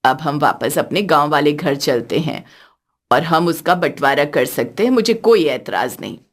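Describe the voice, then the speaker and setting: A woman voicing an elderly, wise and affectionate village mother-in-law in a cartoon story, speaking in a measured, earnest way. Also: deep voice, expressive, pitched below the performer's own voice. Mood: resigned.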